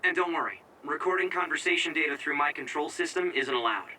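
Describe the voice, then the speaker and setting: An actor voicing a prickly, curt young tech-minded squad member in an English-dubbed anime game. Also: male voice, a little high, fast, bright, forceful tone, distant mic, a little hiss or hum, rough recording. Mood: explaining.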